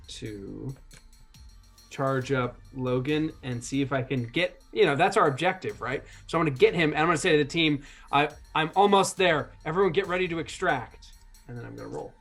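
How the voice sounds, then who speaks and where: A man takes his turn in a tabletop roleplaying game, talking casually and slipping into narration. He is animated and often cuts into his own sentences. His pitch is average, and faint music runs underneath. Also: moderate pace.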